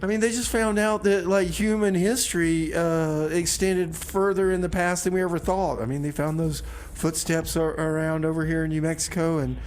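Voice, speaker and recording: A man's voice: a little high, steady tone, moderate pace, few pauses, a little hiss or hum. A man hosting a community radio show with casual, comic, rambling banter and folksy song announcements.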